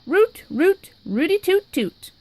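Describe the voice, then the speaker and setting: A woman voicing a loud, boastful female capybara pupil who blurts out answers and interrupts. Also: very high-pitched, sing-song, slow, pitched above the performer's own voice, exaggerated voice.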